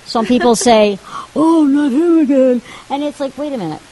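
A woman co-hosting a TV talk show, animated and laughing often, acting out the voices she quotes. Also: high voice, normal speed, crowd noise, rough recording. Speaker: co-host. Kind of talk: casual talk-show chat. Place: TV studio.

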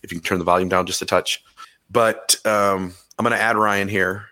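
Male podcast host, a bit hoarse and crackly, lower pitch, bright tone, halting casual talk. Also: fairly even intonation. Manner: halting, many fillers and restarts. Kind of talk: casual chat.

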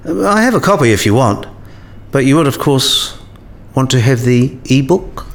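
A man acts out a learned professor in a radio play. His pitch is average for a man, and his delivery is precise and didactic, with dry, gently sceptical teasing. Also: animated, normal speed, creaky. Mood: dryly teasing. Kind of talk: scripted radio play dialogue.

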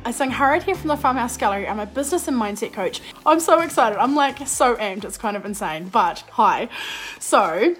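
A young woman, a business and mindset coach, talking in a chatty, animated way with a slightly croaky voice after a cold. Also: sits high. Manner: chatty, animated, says "like" often. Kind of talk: casual podcast monologue.

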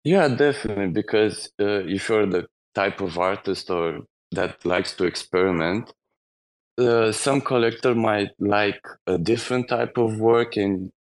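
A man's voice: lowish voice, measured pace. A man from Romania with a Romanian accent, an artist speaking English in a casual chat, nervous and hesitant. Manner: nervous, hesitant, self-conscious. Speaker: artist guest.

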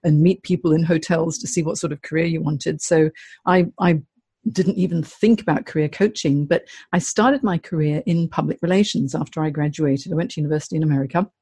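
A middle-aged woman from Hong Kong, a career coach, telling her story in a chatty, animated way as an interview guest. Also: low voice, a little fast, husky, dry recording. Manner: chatty, animated, laughs often.

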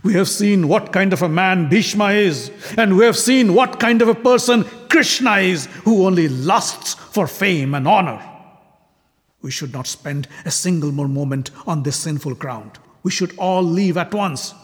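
A man voicing an arrogant, furious king who hurls scornful insults and shouts in defiance. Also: sits high, measured pace, voice pushed up. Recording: long hall reverb.

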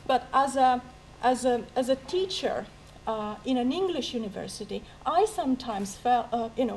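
A woman with an Italian accent answers a question at a live panel debate in a slightly high, lively voice. She talks with many restarts and pokes fun at herself. A faint room ambience sits behind her. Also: slight background noise, low-quality recording. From Italy. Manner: lively, self-mocking, laughs often, many restarts. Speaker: university language teacher on a debate panel.

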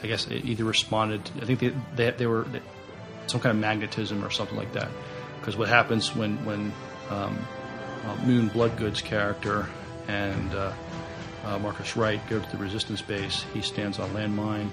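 A man on a podcast panel speaking casually in a hesitant, halting way. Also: lowish voice, little pitch movement, creaky, music in the background. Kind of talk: casual chat.

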